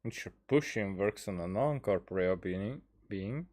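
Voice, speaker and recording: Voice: low voice, expressive, measured pace, a little mellow. Offhand gamer muttering casual asides. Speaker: streamer playing and commenting on a video game. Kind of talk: casual gameplay commentary.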